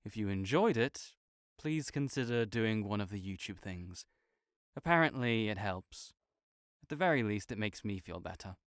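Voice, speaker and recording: A man's voice: on the low side, animated, normal speed, fairly bright tone, no echo, clean studio sound. A man giving a casual, understated, self-deprecating sign-off to his listeners as an audio creator.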